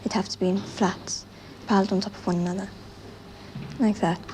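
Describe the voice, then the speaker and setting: Young girl, mellow voice, old 60s interview with faint noise. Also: mid-range voice, little pitch movement, moderate pace. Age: child or teenager. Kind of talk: casual interview answer. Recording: faintly noisy 1960s archival interview.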